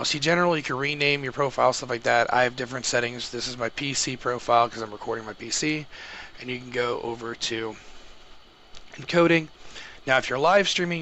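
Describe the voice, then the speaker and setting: A man's voice: medium pitch, sharp tone, a little hiss or hum. A man gives an informal how-to tutorial, explaining things step by step in a casual way. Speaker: tutorial host, gamer and streamer.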